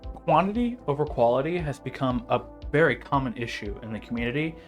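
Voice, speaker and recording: A young man narrates a video essay in a medium-pitched voice over background music. His delivery is informal and conversational. He slips sardonic, joking asides into the scripted commentary. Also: expressive, brisk.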